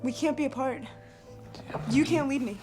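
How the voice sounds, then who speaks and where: A woman's voice: high voice, normal speed, a little mellow, soft background music, close to an everyday voice. A woman voicing a clingy, desperate teenage girl who stammers as she pleads.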